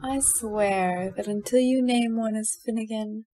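A woman's voice: a little high, measured pace, mellow, no echo. A woman playing a cool, commanding fairy ruler in an audio play.

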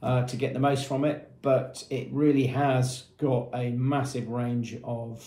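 Guy in his 50s doing a product walkthrough, soft dark tone, roomy echo, matter of fact. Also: medium pitch, little pitch movement, unhurried. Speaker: product presenter for a marine shop. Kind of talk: product demonstration, explanatory. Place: small indoor room with painted block walls. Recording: echoey small room.

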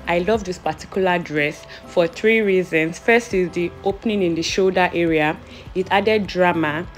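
Young Nigerian woman, low-ish voice, chatty haul voice-over, soft music behind. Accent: Nigerian English. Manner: chatty, playful and self-amused. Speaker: vlogger. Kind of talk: voice-over narration of a clothing haul.

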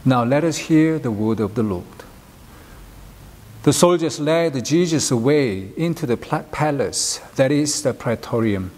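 Man, middle-aged minister, slow solemn liturgical reading, formal, long church reverb. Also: mid-range voice, lively intonation, darkish tone. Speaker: minister leading worship. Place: inside a church, at a pulpit. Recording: long echoing hall reverb.

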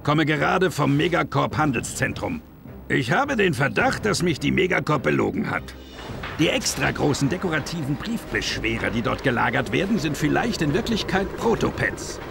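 A man's voice: on the high side, sing-song, moderate pace, vocal fry, exaggerated voice. A man voicing a bumbling, boastful alien villain in a game cutscene, blustering and stumbling over his words. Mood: suspicious, scheming. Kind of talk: animated game cutscene acting. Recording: music behind.

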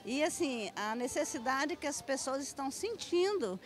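A woman speaking thoughtfully and measured in an event interview, into a handheld reporter mic. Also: high voice, animated, soft background music.

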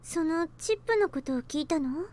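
A woman voicing a gentle young girl, the heroine of an anime visual novel, in a soft manner. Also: extremely high pitch, animated, rapid-fire. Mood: gentle concern. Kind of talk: Japanese anime game voice acting.

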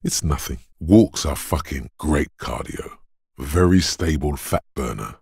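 An actor voicing a stern, gruff, goading inner voice of bodily endurance in a video game, with a gravelly, husky bass and a weighty, deliberate delivery. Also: male voice, animated, a little mellow, dry recording, clean studio sound, exaggerated voice. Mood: grave, measured. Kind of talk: dramatic video game narration.

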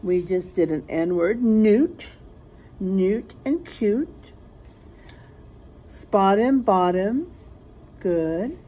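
A middle-aged woman reading a rhyming picture book aloud like a teacher to young children, sing-song and deliberate, stressing rhymes and letters. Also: medium pitch, expressive, warm tone, highs cut off. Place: small classroom, indoors.

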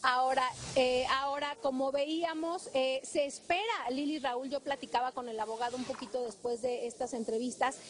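A woman with a Mexican accent giving a live field report as a TV reporter. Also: high voice. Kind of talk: live news report, semi-scripted. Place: outside a government building on a city street. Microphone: handheld reporter microphone. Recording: outdoor street noise behind.

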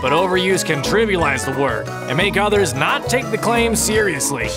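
Man voicing an earnest, animated little cartoon cat. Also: high-pitched, moderate pace, over loud music. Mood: earnest, indignant.

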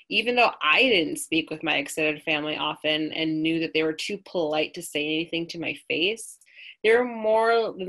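A woman in her thirties with an American accent, reading her own personal essay aloud in a measured, reflective way over a webcam at home. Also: low-pitched, a bit bright. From United States. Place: small room at home, indoors. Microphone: webcam on a video call.

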